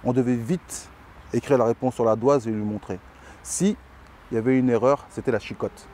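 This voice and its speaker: A man recalls the past in a calm, reflective way. His voice is mellow and warm at a middle pitch. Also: normal speed. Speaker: interviewee. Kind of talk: personal recollection.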